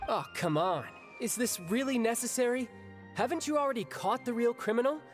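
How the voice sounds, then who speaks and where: A man's voice: extremely high pitch, lively intonation, a little fast, faint music behind. A man playing a cocky, flippant young mercenary in a video game, sardonic and theatrical in manner. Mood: impatient.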